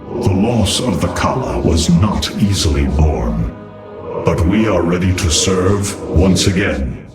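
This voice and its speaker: Dutiful alien templar, game cutscene: very deep, stately, slow, echoing under loud music. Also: male voice, animated. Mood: solemn, dutiful. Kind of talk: dramatic game cutscene acting.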